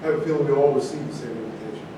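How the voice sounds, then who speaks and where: A man's voice: very fast, lightly acted. A man playing a self-important actor and scholar in a staged play reading. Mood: thoughtful. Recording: echoey distant room recording, noisy rumble.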